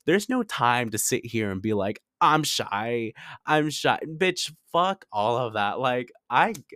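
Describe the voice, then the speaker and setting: A man's voice: mid-range voice, a bit bright, dry recording, clean studio sound. A man in his twenties telling personal stories in a casual, confessional, chatty way, very informal, with lots of "like" and some swearing. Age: 20s. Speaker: podcast host.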